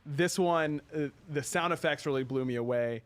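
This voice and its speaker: A man's voice: fairly high pitch, normal speed, slight background noise. A man hosting a casual video game showcase, commenting in an easygoing, approving way. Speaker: show host.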